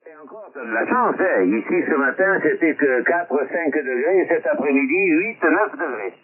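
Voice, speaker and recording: A man with a fairly high voice talks casually as an amateur radio operator. His transmission is thin and phone-like, with a clear murmur of other voices underneath. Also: lively intonation, moderate pace, a crowd in the background. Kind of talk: casual ham radio chat. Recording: tinny radio link with voices and clutter behind.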